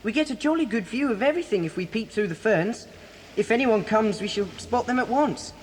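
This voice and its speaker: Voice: mid-range voice, animated, fairly quick, natural voice. A performer playing a blunt, brave schoolboy with an English accent in a children's audio drama. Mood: matter-of-fact. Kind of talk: children's radio drama acting. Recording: hissy old cassette tape.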